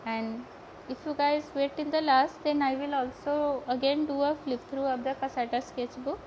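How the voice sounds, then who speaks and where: A woman's voice: sits high, fairly even intonation, normal speed, darkish tone. A young woman from Odisha with an Indian accent and Odia as her native language, hosting a casual live painting tutorial with a hesitant, stumbling delivery. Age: young adult. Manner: hesitant, stumbling, repeats words. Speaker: art live-stream host and painting teacher. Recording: dull lo-fi audio with heavy background noise.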